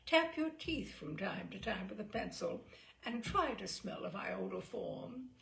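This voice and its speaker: A woman's voice: mid-range voice, sing-song, unhurried, creaky, fairly bright tone, exaggerated voice. A woman voicing a breezy, imperious older earl with a pompous, jovial and unflappable manner. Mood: breezy, commanding.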